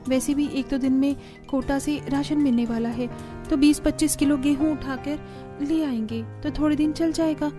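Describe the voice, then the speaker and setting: High-pitched dutiful young daughter-in-law, acted by a woman. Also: steady tone, moderate pace, music in the background, natural voice. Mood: polite, soothing. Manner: deferential, earnest, pleading. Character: dutiful young village daughter-in-law, polite, practical, soothing. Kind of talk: Hindi family cartoon voice acting.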